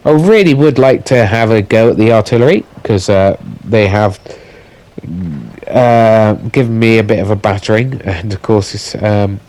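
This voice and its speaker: A man with a somewhat low voice talks over his tank battle footage. His voice is croaky and his nose is stuffed up from being ill. He keeps stopping to restart his sentences and fills the gaps with ums and uhs. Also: a little mellow. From United Kingdom. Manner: halting, with many fillers and restarts. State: croaky voice with a blocked nose from illness. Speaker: gaming commentator. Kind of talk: gameplay voiceover commentary.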